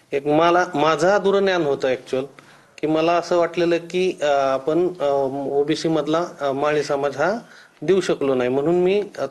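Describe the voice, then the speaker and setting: A man giving hesitant, deferential interview answers in a halting way. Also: a little high, darkish tone. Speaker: interviewee, relative of a politician.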